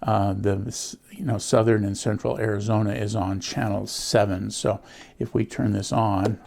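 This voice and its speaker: An American man giving a casual product walkthrough, explaining things in an unhurried, plain-spoken way. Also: fairly low pitch, little pitch movement, creaky, darkish tone. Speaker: host of a gadget review channel.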